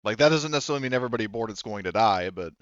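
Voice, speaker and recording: A man's voice: fairly low pitch, lively intonation, very fast, clean tone, no room sound, muffled recording. A man running a tabletop game as game master, narrating casually and often restarting his sentences.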